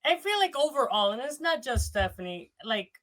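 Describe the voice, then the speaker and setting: A man's voice: extremely high pitch, sing-song, normal speed, bright, forceful tone. A man with a nasal voice talking as a guest on a casual wrestling panel.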